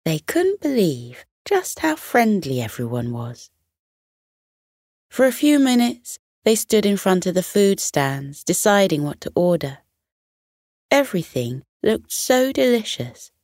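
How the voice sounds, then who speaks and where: A woman narrating a children's bedtime story in a gentle, expressive storytelling manner. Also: mid-range voice, a little slow, dry recording, clean studio sound.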